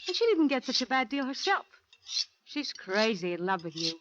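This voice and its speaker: A woman's voice: high-pitched, big pitch swings, moderate pace, fairly bright tone. A woman acting a bold, sly young housekeeper in 1940s radio drama, with an insinuating, teasing, overly familiar manner. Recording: vintage 1940s radio, dull with hiss.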